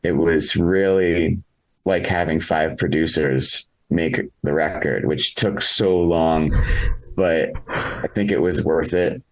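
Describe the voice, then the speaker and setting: A man talking casually as an interviewed musician, halting and reflective as he thinks aloud. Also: extremely low pitch, poor audio. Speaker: interviewed musician, singer in a band.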